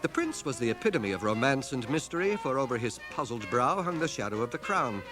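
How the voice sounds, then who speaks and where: An actor plays a bookish, self-deprecating man narrating his memoirs for a 1980 radio drama. His delivery is measured and wry, with wide, expressive swings of pitch. Music plays behind him on the cassette transfer. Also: natural voice. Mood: wry, reflective.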